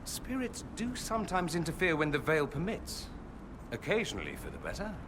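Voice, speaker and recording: A man's voice: on the high side, huge pitch swings, moderate pace, noisy background. A man playing a mild, scholarly and tentative mage in a fantasy game. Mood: dry, musing.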